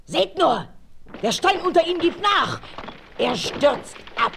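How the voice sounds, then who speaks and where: A frantic Native woman in an old radio play, calling out urgent commands. Her voice sits high and swoops widely, with a gravelly rasp underneath. A clear tape hiss runs beneath her. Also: sing-song, takes it slowly, exaggerated voice. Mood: alarmed. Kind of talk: 1970s radio play acting.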